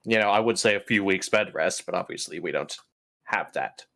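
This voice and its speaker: A man's voice: deep voice, moderate pace, fairly bright tone. A man playing a tabletop roleplaying game, bantering casually in a wry, blunt way.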